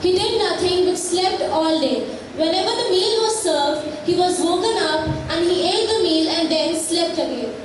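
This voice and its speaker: Female school play narrator: very high-pitched, scripted storytelling, far from the mic in a reverberant hall. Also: expressive, moderate pace, hardly any pauses, background noise. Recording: echoey hall, distant, music behind. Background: music.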